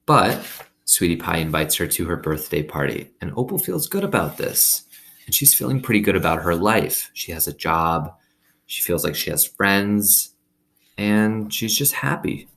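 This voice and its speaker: A man's voice: low-pitched, lively intonation, normal speed, gravelly, fairly bright tone. A man reading a children's story aloud expressively, with casual asides to his listeners. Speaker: reader reading a children's novel aloud to students.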